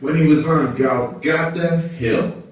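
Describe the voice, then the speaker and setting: A man's voice: fairly high pitch, takes it slowly. A man playing an evasive, self-important religious council member puppet, speaking formally in scripted show dialogue. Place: indoor hall, behind a puppet stage. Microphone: far camera in the audience. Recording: echoey room, distant audience camera.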